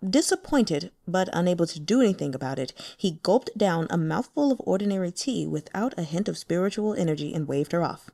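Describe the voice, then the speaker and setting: A woman narrates a translated fantasy novel with lively, varied intonation and gives the characters their own voices. Her voice is mellow and warm, recorded close on a dry mic. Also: fairly low pitch, brisk, creaky, hardly any pauses, no echo. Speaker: audiobook narrator.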